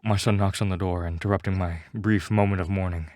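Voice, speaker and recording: A man's voice: sits low, fairly even intonation, a little fast, a little mellow, dry recording, studio quality. A man reading a first-person story in a mock-serious, melodramatic way, as scripted dramatic narration.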